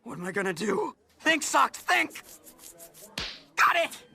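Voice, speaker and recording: A scrappy young cartoon sidekick, played by a woman, earnest and slangy. The voice is high and animated, with loud music behind it. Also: slow pace, gravelly, heavily acted. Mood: worried. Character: scrappy, loyal young friend of the hero. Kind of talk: cartoon fandub acting.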